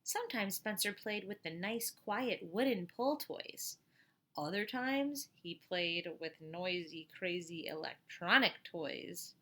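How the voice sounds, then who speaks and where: A middle-aged woman with a bright, crisp-edged voice at an average pitch reads a children's book aloud. She is expressive and teacherly, slipping between the story and casual comments or questions for her young listeners. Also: slow pace.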